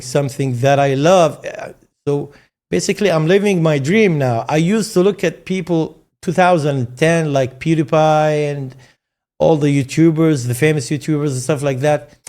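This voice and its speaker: A man's voice: on the high side, measured pace, darkish tone. A man in his forties whose first language is Arabic, hosting a casual interview in English, often stammering and restarting his words. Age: 40s. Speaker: streamer and host.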